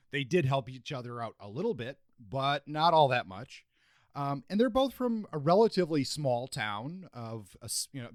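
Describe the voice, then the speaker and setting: A man's voice: a little high, a bit bright, no echo. Man hosting a book podcast, dry sound. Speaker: podcast co-host. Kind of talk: book review and discussion podcast.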